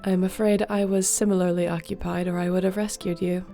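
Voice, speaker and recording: Low, mellow-voiced sorceress voiced by a woman. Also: flat delivery, a little fast, gravelly, faint music behind. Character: warm, loyal female court sorceress. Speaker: audio roleplay narrator. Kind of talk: scripted audio roleplay.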